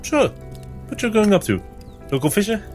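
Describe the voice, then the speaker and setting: A man's voice: high voice, very animated, normal speed, darkish tone, soft background music, pitched above the performer's own voice. A man playing a skinny, caring young inquisitor and dutiful son in a tabletop fantasy game. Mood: caring, gently teasing.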